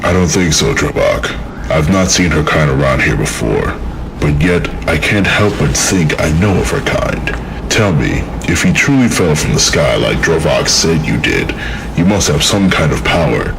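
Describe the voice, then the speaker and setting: A man playing an imposing, stern winged monster lord in a fantasy audio drama, speaking in a measured, condescending, commanding way. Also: extremely low pitch, lively intonation, vocal fry, background noise, heavily acted. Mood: curious, commanding.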